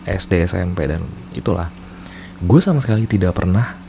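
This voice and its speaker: Deep, mellow Jakarta man chatting casually. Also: moderate pace, vocal fry, a little hiss or hum. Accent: Jakarta Indonesian. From Indonesia, Jakarta. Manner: self-mocking, informal slang. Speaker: storyteller host. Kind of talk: casual personal storytelling with English code-switching.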